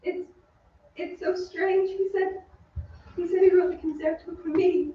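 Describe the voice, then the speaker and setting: An actress playing a tender-hearted, easily frightened young opera singer in an old-time radio drama. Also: female voice, extremely high pitch, steady tone, measured pace. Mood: subdued, wondering. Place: theatre stage. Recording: very echoey stage, distant, muffled.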